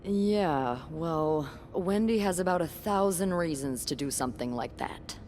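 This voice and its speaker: An actress playing a chatty, gossipy hotel coworker who talks in an animated way. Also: female voice, low-pitched, unhurried, a little hiss or hum.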